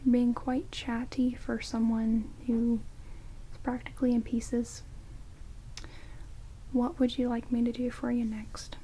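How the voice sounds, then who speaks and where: A soft, mellow whisper from a woman playing a rude vampire lady in an ASMR roleplay. She is dry, snarky and casual, taking her time with every phrase. Close binaural mics pick up a light hiss of rain behind her. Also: a little high, little pitch movement, a little slow, pauses often, close to an everyday voice, rough recording. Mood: grudging, brisk. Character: rude, sarcastic female vampire grudgingly tending wounds. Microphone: close binaural microphones.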